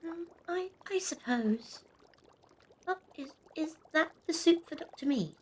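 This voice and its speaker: A timid young girl played by a woman in a period audio drama, high and lilting in pitch. She is polite, obedient and hesitant, with some background noise on the recording. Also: lively intonation, measured pace. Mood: hesitant, worried. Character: timid, obedient young girl, gently questioning her elders.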